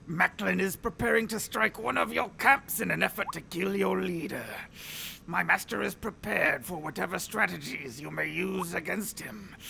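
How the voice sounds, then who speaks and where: Male actor as a pompous gloating enemy officer, gravelly and unhurried, theatrical sneer. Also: sits high, pushed and bright, slight background noise, heavily acted. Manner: pompous, mocking, drawn-out villain delivery. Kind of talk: audio drama acting.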